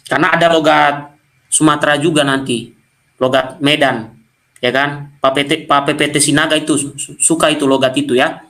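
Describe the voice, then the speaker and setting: Indonesian guy w a nias accent, fairly high voice, lecturing viewers hard, pushy. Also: a bit bright. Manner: combative, addresses viewers directly, slows to stress points. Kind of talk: preaching-style video lecture to viewers.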